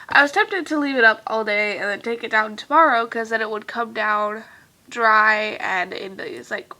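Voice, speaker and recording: A young woman chatting casually to her viewers in a vlog, her nose stuffed and congested, rambling along with lots of fillers and trailing off softly now and then. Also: a little high, normal speed, low-quality recording. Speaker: vlogger.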